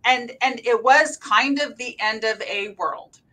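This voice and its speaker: Bright, forceful woman speaking informally. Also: a little high, a little slow. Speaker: college faculty panelist. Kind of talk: casual panel discussion. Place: office, on a group video call.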